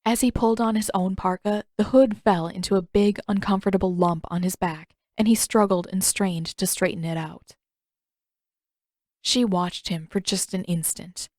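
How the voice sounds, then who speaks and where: A woman's voice: mid-range voice, fairly even intonation, normal speed, a little mellow, no echo, clean studio sound. A woman reading scripted fiction aloud as a story narrator. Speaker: fan fiction author and narrator.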